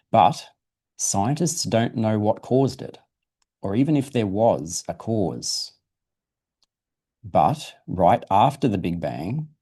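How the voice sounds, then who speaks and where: A man's voice: lowish voice, warm tone, husky. A man presenting for a museum, reading a children's science book aloud in a simple, explanatory storytelling way. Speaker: guest presenter from a dinosaur museum.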